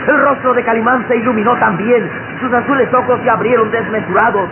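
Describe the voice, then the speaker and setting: A man's voice: very high-pitched, fairly even intonation. Theatrical male radio serial narrator, tinny sound. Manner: theatrical, solemn, suspenseful, with weighty pauses. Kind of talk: dramatic scripted narration, old radio serial. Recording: noisy narrow-band old radio serial recording.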